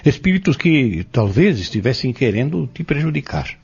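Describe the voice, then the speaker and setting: An actor playing an earnest, patient spiritual mentor in an audio drama, courteous and explanatory. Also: male voice, mid-range voice, very animated, moderate pace, vocal fry, a little mellow, breathy edge, highs cut off, exaggerated voice. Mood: patient, instructive. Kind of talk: audio drama dialogue, didactic.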